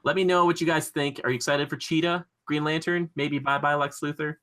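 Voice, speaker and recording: Bright-voiced man hosting a casual podcast. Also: fairly high pitch, steady tone, brisk, slight background noise. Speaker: podcast host. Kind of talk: casual pop-culture podcast chat.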